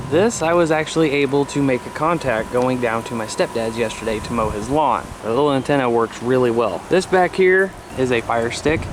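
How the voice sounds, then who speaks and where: A man, chatting casually and folksily to viewers on a handheld camera in and around a car. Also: mid-range voice. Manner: folksy, colloquial. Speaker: amateur CB radio vlogger. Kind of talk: casual vlog talk to viewers. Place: in a parked car and outdoors beside it. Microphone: handheld camera at arm's length. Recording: clearly noisy handheld camera audio.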